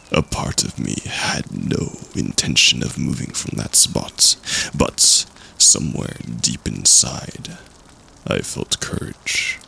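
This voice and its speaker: A gravelly, extremely deep man acting as a male campfire storyteller unfolds a spooky tale for an audio drama. He takes his time, with suspenseful pauses and wide swings in pitch. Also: bright, forceful tone, slight background noise, heavily acted. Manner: suspenseful, dramatic, drawn-out phrasing. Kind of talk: slow campfire ghost story narration in audio roleplay.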